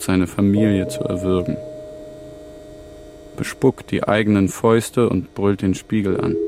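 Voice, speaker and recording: A grim male narrator speaks in a low voice. His radio drama delivery is measured and sombre. A clear hum sits behind him. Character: grim male narrator describing a self-destructive working man.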